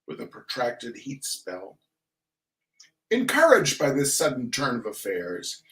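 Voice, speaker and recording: A man giving a theatrical reading of a humorous text in a mock-solemn, deliberate manner with dramatic pauses. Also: on the high side, low-quality recording. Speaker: reader of a comic essay.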